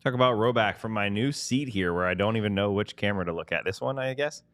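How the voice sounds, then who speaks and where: Male podcast co-host, lowish voice, casual sponsor reads with ad-libs, dry close recording. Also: fast talker, a bit bright, dry recording.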